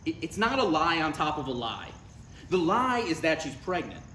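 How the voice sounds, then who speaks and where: A man playing an exasperated, defensive son in his thirties in a live comedy table read, arguing in a halting, incredulous way. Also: on the high side, sing-song, pushed and bright. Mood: flustered. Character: Ryan, exasperated thirty-six-year-old son, needling and defensive. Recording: roomy live recording, faint background noise.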